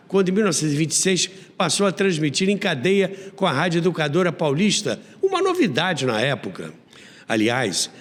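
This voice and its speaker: A Brazilian man hosting a history programme, narrating like a storyteller with emphatic, old-school radio announcer delivery. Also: a little high. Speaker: radio history podcast host. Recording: long reverb on voice.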